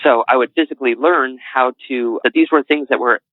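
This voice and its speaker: A man's voice: medium pitch, a little fast. A man with a faint New England accent, an interview guest talking in a rambling, earnest way and often correcting himself. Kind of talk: casual interview talk. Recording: dry, tinny phone-like line.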